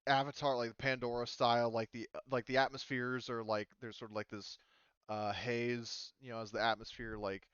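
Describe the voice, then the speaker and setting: A man running a tabletop roleplaying game as game master, casual and halting as he thinks aloud. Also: medium pitch, level tone, fairly bright tone, smooth, no echo, highs cut off.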